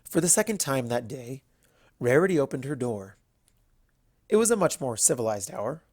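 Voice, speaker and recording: A storyteller reads scripted fiction with lively, widely moving intonation. The pitch is medium and the reading is expressive. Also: normal speed, no echo, studio quality. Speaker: narrator.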